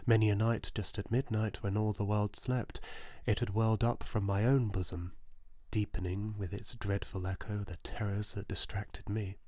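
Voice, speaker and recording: A man's voice: on the low side, flat delivery, moderate pace, no echo, clean studio sound, lightly acted. A man playing a nervous, unhinged murderer confessing in a theatrical gothic horror story reading, dramatic and agitated yet insisting he is sane. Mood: brooding, knowing. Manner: dramatic, swinging between hushed whispers and agitated outbursts.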